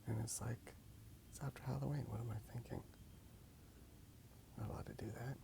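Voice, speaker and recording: A man whispering softly in a breathy, gravelly voice, rambling casually and a little hesitantly in an ASMR style. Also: medium pitch, steady tone, normal speed, noisy background. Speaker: ASMR video creator. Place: outdoors in a park.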